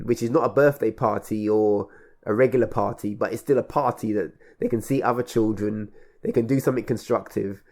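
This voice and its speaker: A man with a fairly low voice talks as a radio host in a reflective conversation. He is measured and a little halting, often repeating and building on his phrases. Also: a little mellow. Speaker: radio show host and event organiser.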